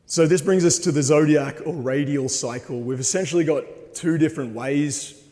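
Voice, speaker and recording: Slightly hoarse man, earnest and halting, with a long hall reverb around his voice. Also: a little high, mellow. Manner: hesitant, frequent ums, earnest. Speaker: conference speaker. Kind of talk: presentation talk with slides. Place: conference hall.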